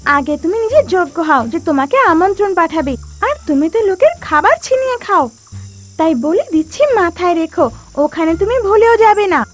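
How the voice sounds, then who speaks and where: Very high-pitched little cartoon bird. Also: female voice, expressive, moderate pace. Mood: scornful, chiding. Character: small talking mother bird, anxious and scolding. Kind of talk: animated moral story dialogue.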